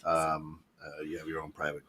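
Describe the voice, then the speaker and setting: A man from Poland, hosting a casual talk radio chat with halting restarts. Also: a bass voice, completely flat delivery, sharp tone, husky. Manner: halting, with many restarts and laughs. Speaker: radio show host.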